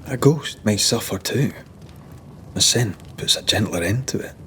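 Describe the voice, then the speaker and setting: An actor playing a tender-hearted Scottish ghost-hunter in a video game, earnest and expressive. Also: male voice, lowish voice, a little slow, a little hiss or hum. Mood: sombre, compassionate. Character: tender-hearted 17th-century male ghost-hunter, devoted lover. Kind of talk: dramatic game cutscene acting.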